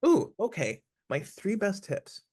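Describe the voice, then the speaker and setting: A man's voice: high-pitched, huge pitch swings, measured pace, a little mellow, husky. A man speaking as an interview guest, giving advice conversationally in an earnest, emphatic way, repeating phrases for stress.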